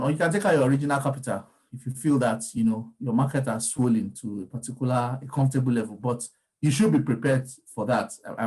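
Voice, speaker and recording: A man's voice: mid-range voice, a little mellow, rough recording. Guy talking crypto markets, casual and rambly, keeps restarting his sentences. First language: Yoruba. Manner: discursive, frequent ums and restarts. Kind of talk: casual explanatory talk.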